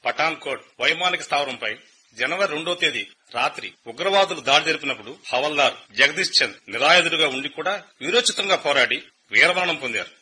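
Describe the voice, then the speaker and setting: An actor in a radio play voicing a government public relations officer on the phone, delivering formal official news. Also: male voice, a little high, lively intonation, brisk, sharp tone, breathy edge, exaggerated voice, poor audio.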